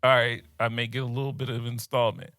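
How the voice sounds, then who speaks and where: A man's voice: mid-range voice, fast talker, no room sound, clean studio sound. A young man, co-hosting a podcast and telling a story in casual chat, laughing often and dropping his voice when he quotes people. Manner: animated, laughs often, drops to quiet voices when quoting others.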